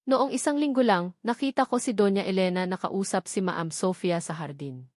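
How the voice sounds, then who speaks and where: A woman's voice: mid-range voice, normal speed, a little mellow, no room sound, studio quality, close to an everyday voice. A Filipino woman voicing a deferential, anxious household maid in a story, respectful and confiding.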